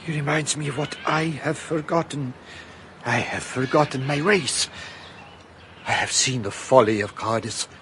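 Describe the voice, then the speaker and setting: A man's voice: mid-range voice, moderate pace, background noise, lightly acted. An actor playing a shaken foreign man who speaks in a formal, solemn way. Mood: solemn resolve.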